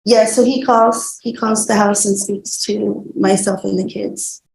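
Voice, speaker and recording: Witness in a hearing: woman, creaky and relaxed tone, halting everyday phrasing, slightly noisy courtroom recording. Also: mid-range voice. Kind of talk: courtroom testimony.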